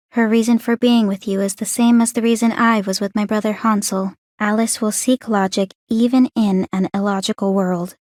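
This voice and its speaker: A woman's voice: medium pitch, darkish tone, no echo, studio quality, natural voice. A woman playing an aloof, bookish young warrior maiden in a video game, speaking in a composed, formal, precise and detached way. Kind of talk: English video game voice acting.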